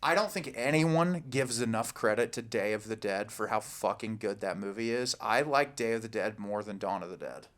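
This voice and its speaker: A man's voice: lowish voice, moderate pace, few pauses, a bit bright. A man in his thirties or older co-hosting a podcast, talking about films in an informal, enthusiastic way. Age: 30s or older. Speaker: podcast co-host. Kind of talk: casual film discussion.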